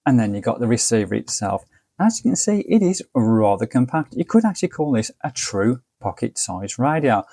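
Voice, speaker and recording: A man's voice: medium pitch, sing-song, moderate pace, gravelly, warm tone, dry recording. A man presenting a casual product review, explaining details in a methodical, matter-of-fact way. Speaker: product reviewer and channel host.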